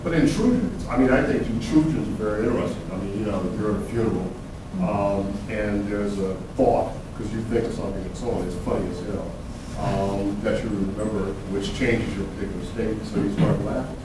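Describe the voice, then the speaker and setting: Big-voiced man on a panel, low and roomy. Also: a little fast, background noise. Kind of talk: academic panel discussion. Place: conference room. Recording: echoey room from far off.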